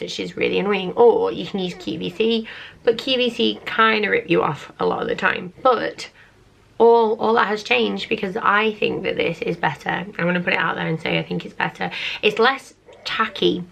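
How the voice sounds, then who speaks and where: A British woman in her thirties, husky from the end of a cold, chatting casually and laid-back through a make-up tutorial. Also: mid-range voice, fairly even intonation, normal speed, fairly bright tone. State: getting over a cold. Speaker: beauty vlogger.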